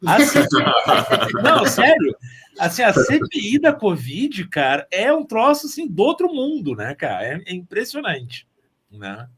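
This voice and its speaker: A man's voice: sits high, huge pitch swings, a little slow, fairly bright tone, slight background noise. A middle-aged man, chatting casually as a guest in an emphatic, joking, exclamatory manner. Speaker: podcast guest, school teacher. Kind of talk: casual animated chat.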